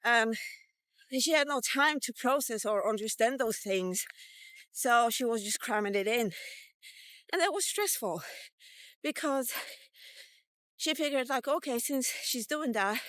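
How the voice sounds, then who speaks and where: Woman in her 50s rambling through a personal story, slightly high voice, really unhurried, swears freely. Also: animated, a bit bright, no echo. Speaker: vlogger. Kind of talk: casual personal storytelling, told in third person. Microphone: portable mic, walkie-talkie vlog.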